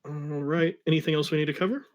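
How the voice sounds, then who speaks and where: Higher-pitched man, casual livestream reader. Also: fairly even intonation, fast. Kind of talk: casual chat and dramatic reading.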